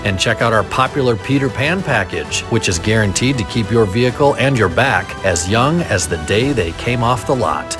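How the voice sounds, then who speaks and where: A man playing a jolly, folksy pitchman in an upbeat parody radio commercial. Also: expressive, normal speed, hardly any pauses, close to an everyday voice. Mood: upbeat salesy. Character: jolly male pitchman for a storybook muffler-and-massage shop. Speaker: commercial announcer. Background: music bed.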